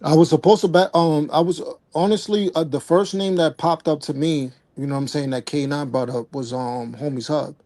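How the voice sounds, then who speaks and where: Casual man, a bit high, dry close mic, relaxed rambling stream talk. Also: normal speed, hardly any pauses, no room sound. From United States. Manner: laid-back, repeats "you know what I'm saying". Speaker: guest battle rapper. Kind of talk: casual live stream chat.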